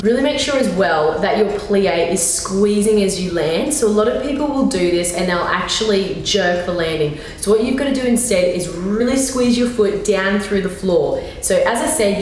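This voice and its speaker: A young woman with an Australian accent and a slightly raspy voice, giving an upbeat, encouraging ballet tutorial as a teacher. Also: mid-range voice. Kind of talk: tutorial talking to camera. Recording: very echoey room, faint background noise.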